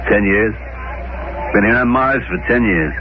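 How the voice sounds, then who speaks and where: Man acting a bitter low-voiced American schemer, radio drama. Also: unhurried, slight background noise, natural voice. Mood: sly, friendly. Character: bitter, scheming rough working man, homesick convict on Mars. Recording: muffled vintage radio recording with music.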